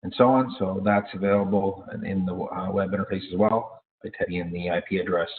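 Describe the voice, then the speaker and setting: A low-voiced man leads an informal product training session. He talks haltingly, often hesitating and correcting himself mid-phrase. The audio is thin and narrow, like a phone connection. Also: fairly even intonation. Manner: halting, self-correcting delivery with frequent hesitations.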